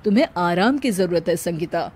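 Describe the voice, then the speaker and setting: A woman voicing a calm, reassuring young husband in a cartoon story. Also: fairly low pitch, brisk, breathy edge. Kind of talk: Hindi cartoon story character acting.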